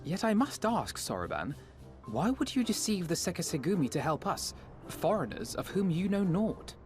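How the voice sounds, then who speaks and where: Articulate, animated, lighter-voiced adventurer. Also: male voice, high-pitched, moderate pace. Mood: probing. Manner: formal, bookish, archaic phrasing. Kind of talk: video game cutscene acting. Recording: background music clearly audible.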